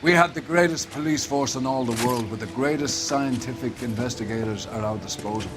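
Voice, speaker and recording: A man's voice: medium pitch, brisk, natural voice. Male actor for a pompous police chief, theatrical 1940s crime game, commanding and sermonizing, middle register. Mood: grandiose. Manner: pompous, oratorical, preachy. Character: pompous male police captain, older authority, grandiose. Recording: music behind the voice.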